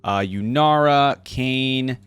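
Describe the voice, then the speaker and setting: A man's voice: mid-range voice, takes it slowly, fairly bright tone, clean tone, no echo. A man hosting a gaming reaction channel, commenting and reading aloud in a close, dry recording. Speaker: YouTube channel host reacting to game lore.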